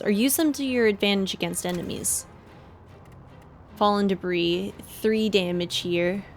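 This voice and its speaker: A woman's voice: fairly high pitch, slight background noise. A woman livestreamer giving casual play-along commentary on a game. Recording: stream voice over game music. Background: game music.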